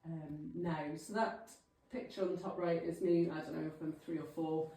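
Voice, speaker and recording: A Welsh woman in her thirties talks casually to a village group, chatty and self-deprecating. Her voice is low and mellow. She was recorded from some distance in a very echoey hall. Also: steady tone, moderate pace. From Wales. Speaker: guest speaker. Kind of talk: informal talk to a local group.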